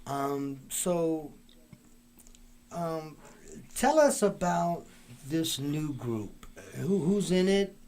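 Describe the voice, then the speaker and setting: A man's voice: fairly high pitch, animated, extremely slow, pauses often, dark tone. A man hosting a live talk show, speaking casually with many fillers and restarts. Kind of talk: casual live-stream interview.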